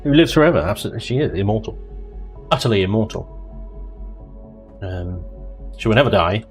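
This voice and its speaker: A man hosts a casual gaming stream and chats away in a low, easygoing voice. He jokes a lot and drifts into mock voices. Quiet music plays under him. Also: expressive, fairly quick. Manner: chatty, jokey, drifts into mock voices and laughter. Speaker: livestream host. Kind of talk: casual chat while gaming.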